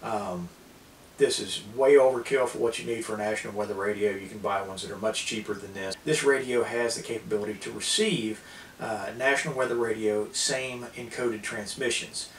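An American man in his thirties giving an informal explainer to camera, with a halting delivery full of pauses. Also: lowish voice, steady tone. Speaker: preparedness video host. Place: small indoor room at a table. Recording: roomy, distant mic, hissy background.

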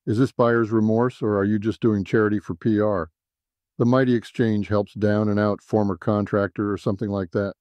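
An irritable private eye from a noir science fiction story, voiced by a male narrator. He is wary and sarcastic, grumbling his lines in a mellow voice recorded close and dry. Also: lowish voice, flat delivery, normal speed, dry recording, close to an everyday voice.

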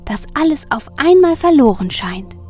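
A man voicing a cheerful, kind sun goddess who speaks gently and with compassion, music behind. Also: very high-pitched, very animated, moderate pace, voice pushed up. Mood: compassionate.

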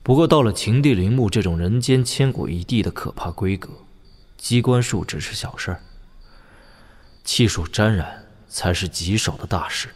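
Low-voiced wry young swordsman. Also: lively intonation, moderate pace, breathy edge. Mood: pondering. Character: wry, shrewd young nobleman swordsman, talking low to companion. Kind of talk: Chinese wuxia audio drama acting.

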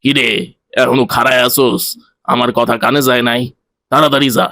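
Bullying male driver, a man acting, cartoon villain, colloquial Bangladeshi accent, gruff, very creaky, middle-pitched, greedy, spiteful. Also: level tone, a little fast, pushed and bright, dry recording, clean studio sound. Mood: threatening. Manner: gruff, bossy, threatening.

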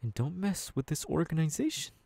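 A man playing a menacing, unhinged young supervillain boss in an ASMR audio roleplay, threatening his underlings slowly and deliberately. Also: on the high side, big pitch swings, gravelly, mellow, dry recording, exaggerated voice. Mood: threatening anger.

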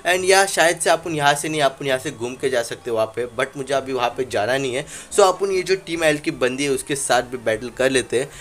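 A man's voice: medium pitch, a little fast, few pauses, a bit bright. A young man casually commentating a handheld game, rambling with frequent fillers and English words mixed in, recorded on a phone. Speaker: gaming channel host. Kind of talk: casual Let's Play commentary.

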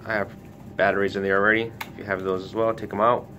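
Man, low voice, casual how-to host, step-by-step and cautioning, noticeable rumbly background noise. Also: little pitch movement, quick pace, muffled recording. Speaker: tutorial host for a retailer. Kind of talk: casual hands-on product tutorial.

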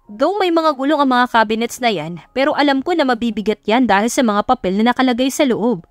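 A woman's voice: fairly high pitch, lively intonation, brisk, no room sound, pitched above the performer's own voice, natural voice. Bossy serious young ceo guy, voiced by a woman, romance audiobook, blunt and protective, music behind. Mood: exasperated, worried.

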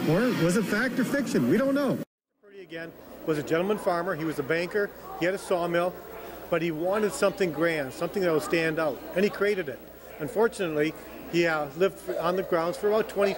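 Casting: older male volunteer, high voice, folksy talker, noisy barn, handheld mic. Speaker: museum volunteer. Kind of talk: interview answers. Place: indoors in a wooden barn. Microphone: handheld mic held by reporter.

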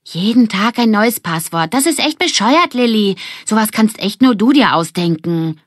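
A woman's voice: medium pitch, animated, normal speed, no echo, studio quality, pitched above the performer's own voice, close to an everyday voice. A woman voicing a blunt, exasperated young girl in a children's audiobook, complaining emphatically. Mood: annoyed.

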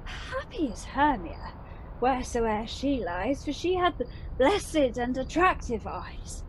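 A woman's voice: high voice, sing-song, slow pace, slight background noise, exaggerated voice, poor audio. A woman acting a lovesick, self-pitying young noblewoman in a theatrical Shakespearean radio play. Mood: breathless self-pity. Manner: theatrical, indignant, swooping.

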